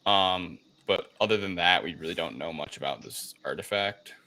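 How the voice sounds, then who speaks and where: Deep-voiced man casually rambling about a film. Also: moderate pace, bright, forceful tone. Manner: hesitant, rambling, unsure phrasing. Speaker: podcast co-host recapping a film. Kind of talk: casual unscripted film discussion.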